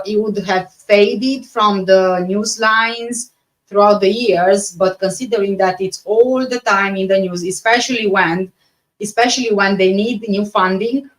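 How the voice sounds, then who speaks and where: A woman from Romania with a Romanian accent chats casually as a livestream host, answering viewers in a halting way that often repeats and rephrases. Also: mid-range voice, rough recording.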